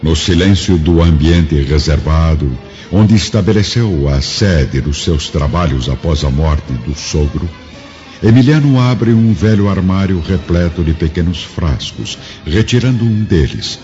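A man's voice: sits low, lively intonation, unhurried, dark tone. A man narrating a radio drama in a solemn, grave and theatrical scripted style. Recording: muffled radio drama with faint music.

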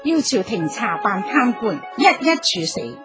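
An actress playing a commanding yet compassionate empress dowager in a Cantonese radio drama, formal and deliberate in manner. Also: female voice, medium pitch, very animated. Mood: indignant. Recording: music behind the voice.

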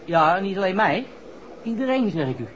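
An actor playing a brash, cocky young playboy with slangy, boastful talk in a 1960s radio drama. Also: male voice, sits high, sing-song, normal speed, fairly bright tone, slight background noise. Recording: muffled vintage radio recording, music behind.

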